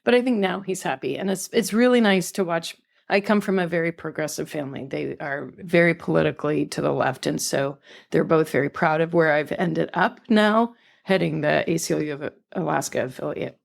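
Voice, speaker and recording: Older woman guest, low gravelly voice, earnest and reflective, raised in California. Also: a little fast. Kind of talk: conversational interview.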